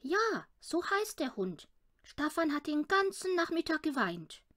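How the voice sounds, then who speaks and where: A woman voicing a calm, gentle mother in a storybook, explaining things softly. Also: high voice, a little slow, voice pushed up, exaggerated voice. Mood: gentle, regretful. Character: calm, gentle mother breaking bad news.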